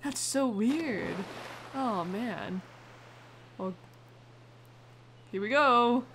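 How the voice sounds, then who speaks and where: A woman's voice: fairly high pitch, lively intonation, extremely slow, noisy background. A woman hosting a gaming video with casual, reactive commentary, muttering informal asides to herself.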